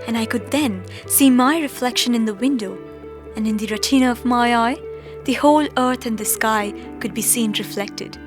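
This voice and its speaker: A woman's voice: on the high side, normal speed, close to an everyday voice. An actress playing an awestruck young woman astronaut reminiscing in an audio drama monologue. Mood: awed wonder. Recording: music bed under the voice. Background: instrumental music.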